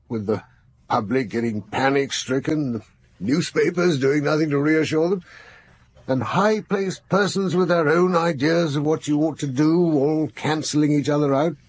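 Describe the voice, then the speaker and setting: An actor playing a learned psychiatry professor in a radio drama, urbane and analytical, musing in articulate, assured phrases. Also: male voice, fairly high pitch, unhurried, a little mellow, breathy edge. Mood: wry, inquiring. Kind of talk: naturalistic radio drama acting.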